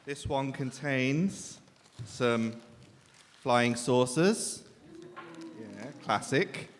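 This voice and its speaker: A man's voice: medium pitch, lively intonation, extremely slow. Echoey hall, noticeable background noise, male host, informal stage announcements, self-deprecating humour. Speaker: host of a live literary event. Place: indoor live event venue in a reverberant hall.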